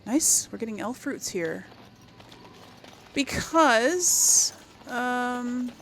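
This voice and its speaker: A woman's voice: on the high side, animated, very slow, fairly bright tone. Woman thinking aloud over noisy gameplay. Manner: thinks aloud in short reactive remarks. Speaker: gaming video host. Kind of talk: casual gameplay commentary, talking to viewers. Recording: clear game noise behind voice. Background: game sound effects and ambience.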